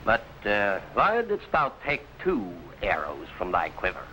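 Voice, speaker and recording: An imperious tyrant from an old adventure serial. The voice is forceful and very animated, mocking in grand archaic phrases. A faint layer of old broadcast noise sits over it. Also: male voice, fairly low pitch, a little slow, heavily acted. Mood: gloating. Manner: theatrical, archaic speech, taunting. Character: cruel, haughty male governor, mocking and imperious tyrant. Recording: noisy old broadcast recording.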